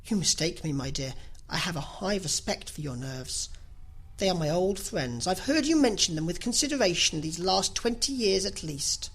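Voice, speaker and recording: A woman reader gives voice to a middle-aged gentleman husband in a classic novel. He is dry, teasing and unruffled, speaking with wry mock politeness in a somewhat husky tone. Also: fairly low pitch, animated, normal speed. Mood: wry, mock-soothing.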